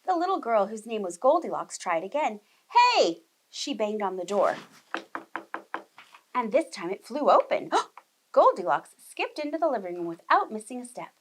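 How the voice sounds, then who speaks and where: Woman, high-pitched, lively swooping intonation, preschool teacher, expressive storybook narration for little children. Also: normal speed. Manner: expressive, addresses young children with questions and explanations. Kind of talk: animated storybook read-aloud.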